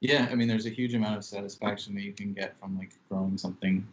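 A young man, a chef, giving calm, measured answers in a casual interview over a video call. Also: fairly low pitch, a little hiss or hum. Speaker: chef and restaurant co-owner. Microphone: laptop webcam on video call.

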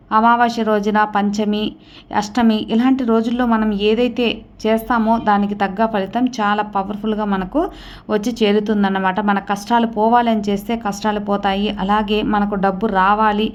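A woman hosting a devotional channel, explaining to viewers step by step in a repetitive, instructional way. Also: medium pitch. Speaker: host of a devotional remedy channel.

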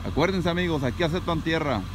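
A man in his thirties with a Mexican accent, a landscaper chatting casually to his viewers as friends and often repeating himself, recorded on a handheld phone. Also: fast. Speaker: landscaper and vlogger. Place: outdoors at a dump yard and inside a truck. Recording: noisy phone recording with vehicle rumble.

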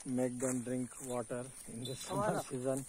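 A man's voice: mid-range voice, level tone, moderate pace, heavy background noise. A middle-aged man with an Indian English accent, giving an earnest, explanatory selfie commentary while walking outdoors around a farm. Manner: earnest, explanatory, preachy asides. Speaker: farm vlogger. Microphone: phone held at arm's length.

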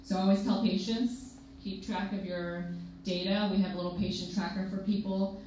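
A woman's voice: mid-range voice, little pitch movement, far from the mic. A young woman moderating a conference panel as a clinician, chatty and explanatory. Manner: chatty, explanatory, quick asides. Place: conference room with projector. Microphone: podium mic, filmed from far in the room. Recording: echoey room from afar, faint hiss.

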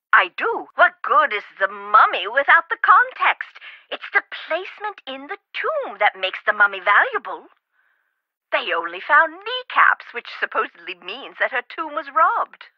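Fussy flowery egyptologist lady in a game, high voice, calls everyone dear, dry kinda dull audio. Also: no room sound. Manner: prim, theatrical, fond of elaborate metaphors and "dear". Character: eccentric chatty woman scholar of Egypt, fussy and flowery. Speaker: character in an adventure game. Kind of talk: studio game voice acting.

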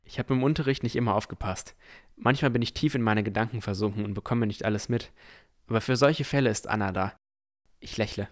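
Young, shy, curious fantasy hero-narrator played by a man, on the low side, measured delivery for an audio drama. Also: natural voice. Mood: wistful. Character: Noel, dreamy young village boy, shy and curious. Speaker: narrator and lead character.

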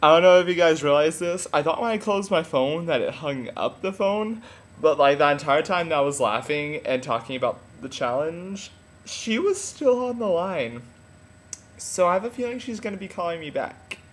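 A young man in his late teens vlogging casually from a room at home on a webcam, energetic and animated. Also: on the high side, normal speed. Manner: energetic, animated, laughs often. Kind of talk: casual fan vlog. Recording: roomy room echo, faint hiss.

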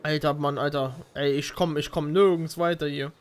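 High-pitched young man chatting over gameplay. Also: steady tone, normal speed, smooth. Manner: slangy, repeats words, mixes in English. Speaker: gaming video commentator. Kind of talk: casual gameplay commentary with a friend. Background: shooter game gunfire and ambience.

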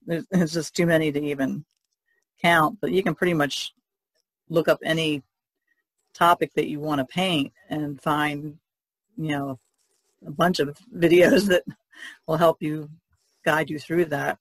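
Creaky-voiced woman chatting. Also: low voice, fairly even intonation, a little slow, pauses often, no echo, poor audio. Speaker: podcast co-host, painter. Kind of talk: casual podcast chat.

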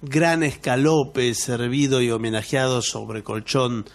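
A man's voice: medium pitch, fairly even intonation, takes it slowly. A man with a Rioplatense Argentine accent, co-hosting comic radio banter in a mock-formal, protesting manner. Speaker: radio comedy show co-host.

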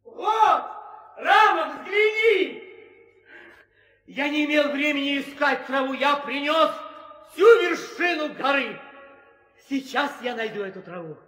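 Casting: boisterous monkey warrior, very high, swooping voice, audio drama, echoey sound. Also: male voice, takes it slowly, pauses often, full character voice. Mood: triumphant. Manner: exclamatory, excitable. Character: eager, boisterous male monkey hero, devoted helper. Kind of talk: theatrical 1960s audio drama acting. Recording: echoey, reverberant old drama recording.

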